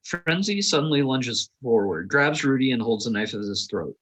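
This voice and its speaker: A man's voice: medium pitch, expressive, moderate pace, fairly bright tone, rough recording. A man reading scripted stage directions aloud as narrator at a table read.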